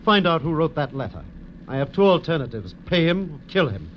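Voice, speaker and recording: Anxious, earnest wealthy husband, muffled radio. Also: male voice, on the high side, sing-song, a little fast. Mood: pleading. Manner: earnest, urgent, strained. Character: anxious wealthy protective husband, male blackmail victim in wheelchair. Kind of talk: 1940s radio drama acting. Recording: muffled old radio recording, faint noise.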